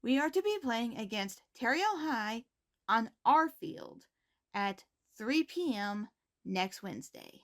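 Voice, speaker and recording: Lady as high school principal doing announcements, high voice, super lively intonation, formal n measured. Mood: composed, announcing. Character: poised, caring high school principal giving morning announcements. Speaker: host reading school announcements. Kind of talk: scripted announcement.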